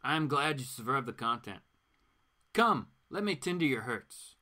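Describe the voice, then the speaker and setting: A man plays a seductive comic-book villainess. The delivery is coaxing and theatrical, full of grand flattery, with a bright edge and lively pitch. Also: measured pace.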